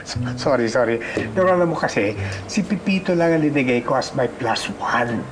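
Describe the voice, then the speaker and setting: A man's voice: mid-range voice, lively intonation, a little fast, over music, lightly acted. An actor playing an eager, persuasive dealmaker with a Filipino accent, switching between English and Tagalog. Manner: pushy, upbeat, mixes English and Tagalog. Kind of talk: sitcom acting.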